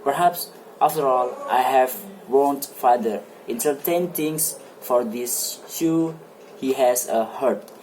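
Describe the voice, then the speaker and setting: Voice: mid-range voice, takes it slowly, a little mellow, slight background noise, close to an everyday voice, poor audio. An amateur reader playing a serious, brooding man who questions an old friend in a stage play, in grave, formal phrasing. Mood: grave, moved. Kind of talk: amateur dramatic play reading.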